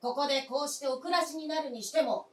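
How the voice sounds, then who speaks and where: Woman as an earnest son, high, echoey and distant. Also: fast, close to an everyday voice. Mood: pleading, insistent. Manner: polite, insistent, formal phrasing. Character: earnest, persistent adult son pleading with elderly father. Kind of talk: live radio drama acting. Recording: roomy stage recording from afar.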